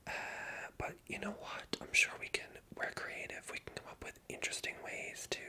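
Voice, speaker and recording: An ASMR creator speaking in a soft whisper, chatting casually and rambling along as they address viewers directly. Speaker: ASMR video creator and storyteller.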